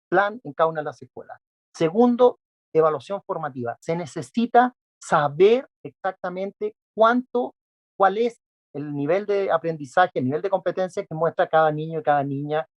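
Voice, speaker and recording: A man's voice: on the high side, lo-fi sound. A man, an education specialist, answering interview questions methodically, listing his points with frequent fillers. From not Peruvian.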